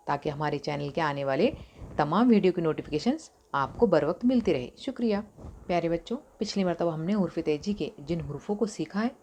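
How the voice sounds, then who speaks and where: A woman teaching a recorded Urdu lesson to young children, with an Indian Urdu accent, asking questions and answering them herself, stretching and repeating words slowly. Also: deep voice, a little mellow, slight background noise. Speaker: primary school Urdu teacher.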